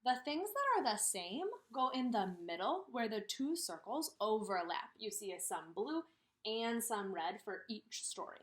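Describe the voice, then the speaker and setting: Expressive woman teaching young children. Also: mid-range voice. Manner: questioning, pausing for children to answer; simple, deliberate, repetitive phrasing for small children. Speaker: kindergarten teacher. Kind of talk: read-aloud lesson for young children.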